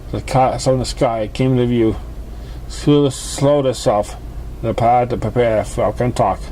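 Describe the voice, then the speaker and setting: A male amateur reader narrates a story in a halting way, tripping over words as he goes. His pitch is average for a man. The recording has clear background noise. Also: mellow, low-quality recording. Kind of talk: scripted fan fiction narration.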